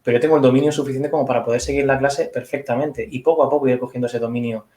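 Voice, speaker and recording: Man, conference speaker, quick delivery, roomy video call sound. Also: medium pitch, fairly even intonation, warm tone. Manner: thoughtful, hedging, explains with examples. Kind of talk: online Q&A answer.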